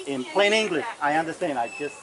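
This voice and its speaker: A soft-voiced man who struggles to project, giving a measured, formal technical explanation as a county official at a public meeting. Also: fairly high pitch, lively intonation, crowd noise. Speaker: county environmental official answering questions. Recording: noisy public meeting, loud ambient noise.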